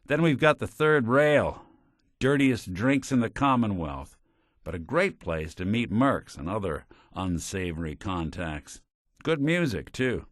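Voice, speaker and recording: A man's voice: on the low side, big pitch swings, measured pace, dry recording, clean studio sound. A man voicing a weary, wry old-school detective robot with an American accent and hard-boiled noir phrasing, in a video game. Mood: wry, knowing.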